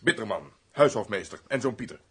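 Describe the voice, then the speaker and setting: An actor playing a fussy, obsequious castle steward in a radio drama, chatty and given to exclamations. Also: male voice, on the low side, very animated, a little fast, breathy edge, heavily acted. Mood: servile, chatty.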